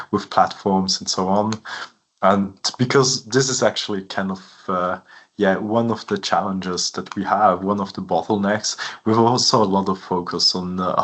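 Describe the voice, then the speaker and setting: A man in his thirties from Switzerland, a native French and German speaker, giving an academic seminar talk in English with many fillers and a relaxed, hesitant delivery. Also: sits low. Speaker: guest lecturer, professor.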